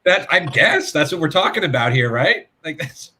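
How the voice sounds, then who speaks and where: A man's voice: a little high, big pitch swings, moderate pace, bright, forceful tone, a little hiss or hum. A man co-hosting a casual online drinks show, easygoing and self-mocking. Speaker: co-host.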